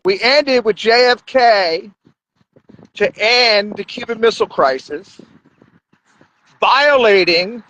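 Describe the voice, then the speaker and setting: A man with a high voice delivers a profane, rambling monologue in a blunt, crude way. The sound is dull and lo-fi. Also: fairly bright tone. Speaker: podcast host.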